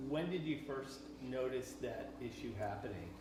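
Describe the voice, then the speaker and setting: A man's voice: medium pitch, expressive, normal speed. A man working as an attorney, methodically asking repetitive leading questions in a deposition. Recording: roomy, distant, noisy deposition recording.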